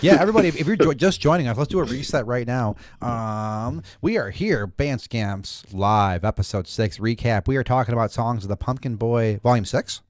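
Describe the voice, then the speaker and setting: A man's voice: mid-range voice, normal speed, hardly any pauses, no echo. A man hosting a casual live music show, talking informally with the odd mild swear word. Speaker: host of a music recap show. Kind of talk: casual live-stream chat.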